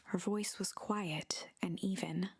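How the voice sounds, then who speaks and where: A woman giving scripted storybook narration in a measured, dramatic, sometimes breathy way. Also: on the low side, steady tone, no room sound, exaggerated voice.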